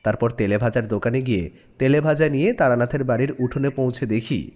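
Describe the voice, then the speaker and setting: A man's voice: mid-range voice, brisk, darkish tone. A man narrating a scripted audio story expressively, acting out the dialogue as he goes.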